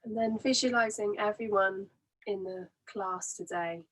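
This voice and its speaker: A woman leading a guided relaxation as a meditation teacher, soothing and gently instructing in an unhurried way. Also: medium pitch, poor audio.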